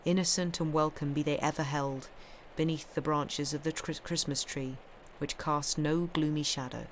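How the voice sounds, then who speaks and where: A woman's voice: sits low, fairly even intonation, moderate pace, slight background noise, low-quality recording. A woman hosting a live stream of festive readings from home, speaking into a streaming microphone. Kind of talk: expressive literary reading aloud; casual live-stream chat.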